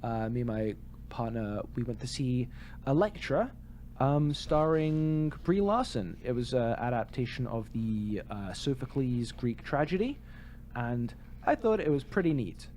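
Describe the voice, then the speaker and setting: A man's voice: mid-range voice, animated, measured pace, a little mellow, a little hiss or hum. A man co-hosting a podcast in casual chat, with a jokey, wry way of talking. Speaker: podcast co-host.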